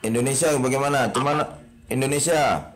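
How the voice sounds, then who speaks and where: Male caller, medium pitch, informal and insistent, short repeated questions on a recorded phone conversation. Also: brisk.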